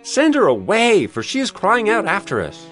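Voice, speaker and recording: An actor playing an impatient, exasperated disciple speaking urgently in a dramatized Bible reading. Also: male voice, high voice, huge pitch swings, moderate pace, fairly bright tone, soft background music, heavily acted. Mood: annoyed.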